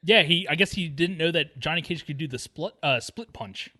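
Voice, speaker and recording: A man with a fairly high, bright voice talks movies as a podcast co-host. He keeps it slangy and jokey, in loose casual conversation. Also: normal speed. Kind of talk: casual chat about films.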